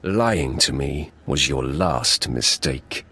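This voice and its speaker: An actor playing a ruthless, proud Sith apprentice in a video game, curt and threatening in delivery. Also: male voice, very deep voice, animated, slow pace, pushed and bright, husky, heavily acted. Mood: menacing. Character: ruthless young male Sith warrior apprentice, proud and wrathful. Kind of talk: cinematic game voice acting.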